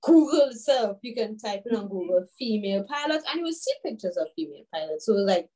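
A young woman from Grenada in her twenties, chatting as an interview guest. Her voice sits at a middle pitch, and she tells her stories in an animated way, full of fillers and asides. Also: fast. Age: 20s. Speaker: guest, children's author. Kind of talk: casual interview chat.

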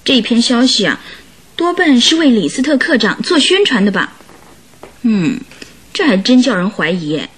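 An actress playing a curious, chatty, teasing wife in a radio detective drama, exclamatory and inquisitive. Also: female voice, fairly high pitch, sing-song, moderate pace, breathy edge. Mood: startled, skeptical.

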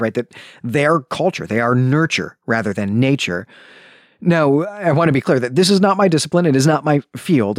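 Male podcast host, mid-pitched, recorded dry and close; explanatory, emphatic book-analysis delivery. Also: dry recording. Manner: emphatic, explanatory, often checks in with "right?". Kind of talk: book analysis, semi-scripted commentary.

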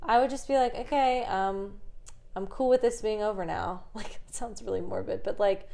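A woman in her twenties co-hosting a podcast, talking in a chatty, animated way and swearing casually. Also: medium pitch, moderate pace, creaky. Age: 20s. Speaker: podcast co-host. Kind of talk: casual chat.

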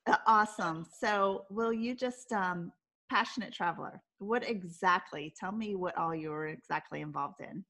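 A woman's voice: mid-range voice, moderate pace, a bit bright. A woman hosting a podcast, upbeat and enthusiastic as she welcomes and questions her guest. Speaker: podcast host. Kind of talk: podcast interview.

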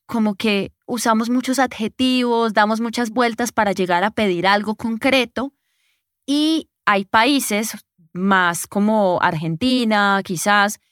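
A woman's voice: medium pitch, fairly bright tone, dry recording, studio quality. A woman from Colombia hosting a podcast, asking long, friendly, explanatory questions and sharing her own experiences. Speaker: podcast host. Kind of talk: interview questions and anecdotes. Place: recording in Bogotá.

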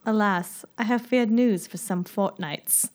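A woman's voice: medium pitch, measured pace, a little mellow, natural voice. A woman playing a devoted, melodramatic noble lady with mock-archaic wording in an amateur comic period play. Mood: anguished.

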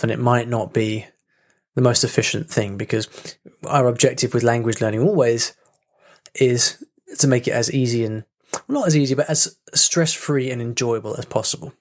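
A man's voice: mid-range voice, normal speed. A man hosting a solo podcast, talking casually with many fillers, restarts and small laughs. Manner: chatty, self-interrupting with fillers and laughs. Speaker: podcast host.

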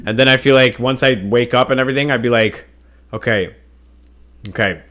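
Man, casual podcast co-host, mid-range voice, profane and animated storytelling. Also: mid-range voice, brisk. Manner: animated, swears a lot, acts out scenes in loud put-on voices. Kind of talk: casual chat, hypothetical storytelling.

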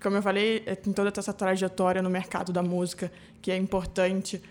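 A woman guest speaking in a measured, somewhat hesitant way during a casual interview. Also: mid-range voice, completely flat delivery.

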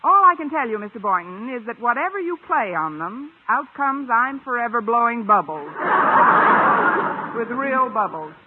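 An actress playing a quick-witted, wry schoolteacher with dry, wisecracking delivery in a 1950s radio sitcom. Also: female voice, fairly high pitch, very animated, noisy background. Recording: muffled old-time radio broadcast.

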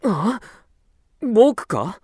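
An actor in a radio drama playing a bold, cool young gentleman swordsman, curt and confident. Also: medium pitch, big pitch swings, drawn-out words, husky, no room sound, clean studio sound, exaggerated voice. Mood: cool, defiant.

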